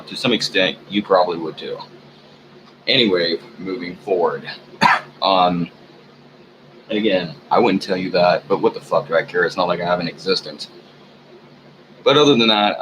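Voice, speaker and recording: A man talking alone at home in a meandering personal vlog, full of ums and trailing thoughts, in a sleepy, low-key way. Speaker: vlogger. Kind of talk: rambling personal monologue. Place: room at home. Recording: muffled, noisy home recording.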